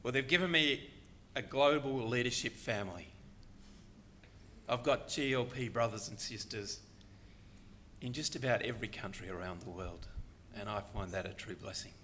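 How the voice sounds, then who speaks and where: A man's voice: medium pitch, moderate pace, a bit bright, a little hiss or hum. An older man speaking earnestly and reflectively at a conference panel, delivering a prepared talk. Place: conference hall.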